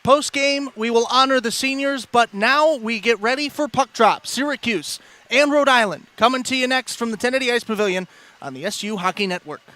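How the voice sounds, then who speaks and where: A man doing animated, projected play-by-play commentary on a live ice hockey broadcast. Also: extremely high pitch, a little fast. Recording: loud crowd and arena noise behind. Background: ice rink crowd.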